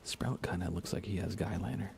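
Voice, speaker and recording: A man speaking softly as an ASMR game streamer, hushed and often near a whisper, rambling casually as he chats with viewers. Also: low-pitched, a little fast, vocal fry, a crowd in the background. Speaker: live streamer. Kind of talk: soft-spoken ASMR gaming livestream chat.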